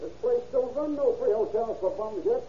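Very high-voiced sneering cabbie. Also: male voice, expressive, normal speed. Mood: sarcastic. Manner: mocking, slangy. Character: sneering, sarcastic small-town cab driver. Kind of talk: old-time radio crime drama acting. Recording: roomy, dull, hissy old radio recording.

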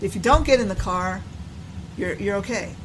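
An older woman recalling her past in a relaxed, unhurried way, pausing to search for words. Her voice sits at a moderate pitch. A constant noise runs beneath her. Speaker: stepmother telling her past. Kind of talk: casual conversational interview. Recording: noticeable background noise.

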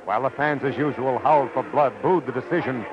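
A man's voice: medium pitch, brisk, close to an everyday voice. A wry, hard-boiled American private eye played by a man, grave and clipped, with a mid-range voice. It is an old radio detective show, muffled and heavy with noise. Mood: wry, world-weary. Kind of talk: 1940s radio detective narration and acting. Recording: noisy, muffled 1940s radio recording.

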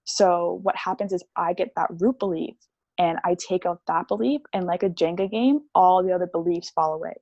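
A woman's voice: fairly low pitch, moderate pace, darkish tone, no room sound, dull audio. A young woman talking as a podcast guest about her healing practice, chatty and casual, with many fillers. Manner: chatty, explains with lots of "like" and "um".

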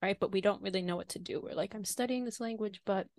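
A woman's voice: medium pitch, fast talker, darkish tone. A woman hosting a podcast interview in a casual, conversational way, rephrasing and elaborating as she goes.

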